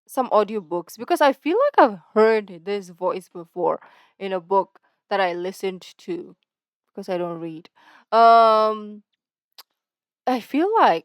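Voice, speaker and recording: A woman's voice: mid-range voice, no room sound, studio quality. A woman hosting a reaction video, rambling and chatty, often correcting herself. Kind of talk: casual chat reacting to a video.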